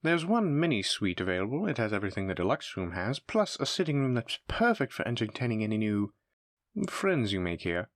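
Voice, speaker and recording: A man's voice: fairly low pitch, sing-song, fairly quick, dry recording, clean studio sound. A man playing a polite, eager demon front desk clerk in a scripted roleplay, with formal, effusive hospitality patter. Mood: courteous, persuasive. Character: courteous, eager demon hotel receptionist, smooth salesman. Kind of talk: scripted roleplay audio addressed to the listener.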